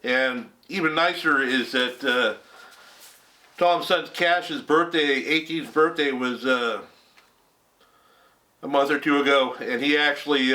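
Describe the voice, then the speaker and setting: Bright-voiced man hosting a fishing report. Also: a little high. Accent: Southern Californian. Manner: relaxed, rambling with many fillers. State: recovering from a cold. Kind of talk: casual weekly report.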